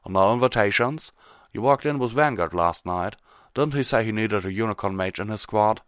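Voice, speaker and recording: A man's voice: fairly low pitch, moderate pace, clean tone, dry recording, studio quality, voice pushed up, natural voice. Man doing a folksy country mare. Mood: friendly, probing. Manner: folksy, blunt. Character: plain-spoken, steady country mare, a cartoon farm pony.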